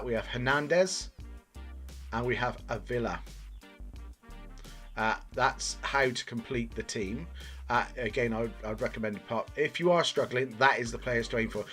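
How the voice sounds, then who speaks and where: A man's voice: medium pitch, fairly bright tone. Casual, rambling Bristol gamer man, music behind. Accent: Bristol English. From Bristol, England. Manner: rambling, self-deprecating, mildly sweary. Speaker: gaming video host. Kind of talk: casual video game commentary.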